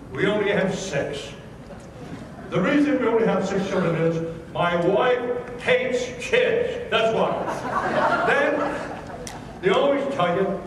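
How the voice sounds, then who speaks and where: An older man speaking from a stage in a conference hall, delivering jokes with slow, well-timed punchlines. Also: sits high, background noise. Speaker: motivational speaker. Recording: echoey hall mic from far off with crowd.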